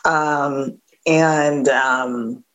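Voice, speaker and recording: A woman with a gravelly voice giving a candid recovery share, halting with many fillers and a wry edge. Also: low-pitched, steady tone, a little hiss or hum. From Southern California. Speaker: AA speaker.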